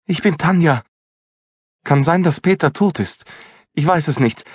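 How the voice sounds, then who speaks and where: A man voicing a frightened young female kitchen helper who stammers in excitement. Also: on the high side, measured pace, slightly rough, no room sound, clean studio sound, pitched above the performer's own voice. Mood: distraught.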